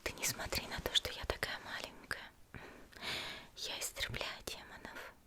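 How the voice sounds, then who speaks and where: Woman playing a whispering, gentle demon slayer. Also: close to an everyday voice. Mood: calm, confiding. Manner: soft, reassuring, unhurried. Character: petite, gentle, elegant female demon slayer caring for the wounded. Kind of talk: whispered ASMR roleplay.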